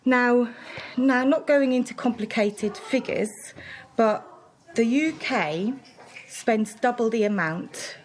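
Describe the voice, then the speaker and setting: A woman's voice: on the high side, takes it slowly, slight background noise, rough recording. Give me a woman in her thirties from central Switzerland, chatting as a podcast guest. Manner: chatty, with fillers and restarts. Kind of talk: casual interview chat.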